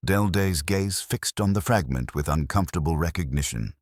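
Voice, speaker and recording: A man narrating a mystery story in a measured, formal, wryly literary manner. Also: sits low, animated, no echo, clean studio sound. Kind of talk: scripted audiobook-style mystery narration.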